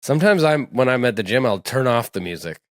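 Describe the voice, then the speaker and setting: A man's voice: mid-range voice, animated, fast, no echo, clean studio sound. A man co-hosting a podcast, chatting casually with profane, playful teasing. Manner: profane, joking, playfully mocking. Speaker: podcast co-host. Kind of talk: casual chat.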